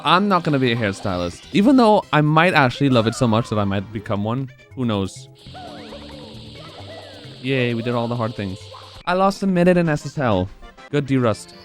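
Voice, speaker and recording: A man in his twenties speedrunning on a live stream, rambling casually and answering chat between moves. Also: mid-range voice.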